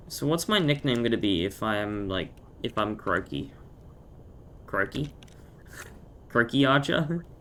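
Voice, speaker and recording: A man with an Australian accent and a croaky voice, chatting playfully and joking while he streams a game. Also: medium pitch, very animated, slow pace, long pauses, a bit bright, a little hiss or hum. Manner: joking, playful, self-mocking. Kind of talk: casual gaming chat.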